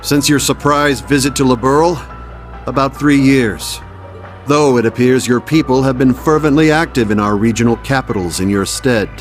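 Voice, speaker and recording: A man's voice: medium pitch, normal speed, faint music behind, natural voice. A man playing a shrewd, serious military officer in a video game cutscene, speaking with pointed formality. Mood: guarded.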